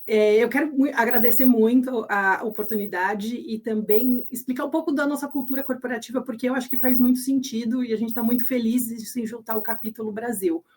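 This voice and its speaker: A woman's voice: fairly high pitch, steady tone, a little fast, hardly any pauses, darkish tone. Female panelist, Brazilian Portuguese accent, earnest and conversational, self-corrects. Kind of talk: panel remarks.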